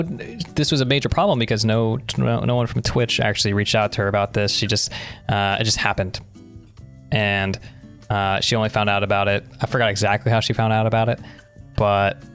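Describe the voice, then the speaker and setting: A man's voice: fairly low pitch, fairly bright tone. A young man co-hosting a podcast, chatting casually and giving opinions in an explanatory way. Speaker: podcast co-host. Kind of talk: casual conversational discussion.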